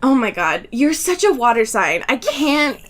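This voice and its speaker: Woman, podcast co-host, highish voice, very lively intonation, giggly, dramatic exaggeration, casual banter. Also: measured pace.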